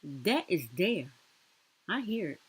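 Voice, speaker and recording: A woman's voice: lowish voice, very animated, takes it slowly, breathy edge. A woman with a Southern US accent giving casual reaction commentary in a rambling, colloquial way.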